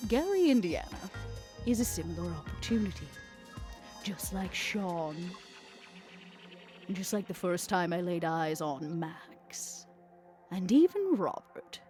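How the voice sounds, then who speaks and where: A woman acts out a smooth-talking female vampire crime boss for a roleplay podcast. Her manner is sly and theatrical, each line laid out deliberately. Faint music plays behind her. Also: on the low side, big pitch swings, mellow. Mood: sly, persuasive. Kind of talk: in-character tabletop roleplay.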